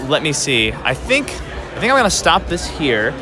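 Male podcast host, fairly high voice, casual and halting, roving interviews with clear music behind him. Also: very animated. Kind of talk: casual on-the-spot convention interview. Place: busy public convention space.

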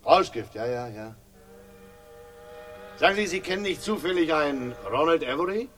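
A man's voice: on the high side, lively intonation, normal speed, fairly bright tone, soft background music. An actor playing a grimly ironic police inspector in a radio crime drama, mock-jovial and hesitant, repeating himself as he needles. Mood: falsely casual. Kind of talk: 1960s German radio crime drama acting.

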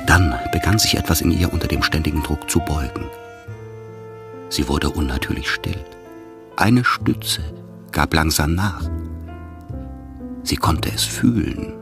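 Very deep male narrator over music. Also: a little slow, vocal fry, long pauses. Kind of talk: scripted literary narration for radio drama.

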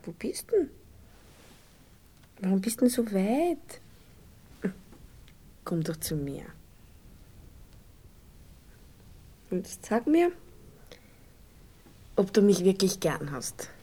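A naive young Viennese sweetheart in a radio drama. Her mid-pitched voice rises and falls freely. She is coy and pouting, often hushed, and speaks with a Viennese accent. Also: big pitch swings, slow, long pauses, slightly rough, full character voice. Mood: dazed, pleading. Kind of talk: naturalistic radio play dialogue.

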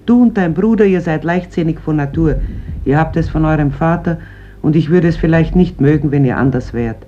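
A woman's voice: low-pitched, fairly quick, dark tone, lightly acted. Radio drama: strict, weary fishwife in her forties played by a woman, probing and reproachful, dull hissy old recording. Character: stern, weary forty-year-old fisherman's mother, wary of war. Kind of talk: stage-like radio drama acting. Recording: muffled old radio recording, clear hiss.

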